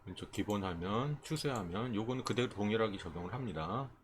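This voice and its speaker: A man with a fairly deep voice gives an informal tutorial over noticeable background noise. His intonation moves up and down a lot, and he explains step by step, often breaking off mid-phrase before going on. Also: lively intonation, brisk. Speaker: stock trading instructor. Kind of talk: informal screen tutorial, explaining chart settings.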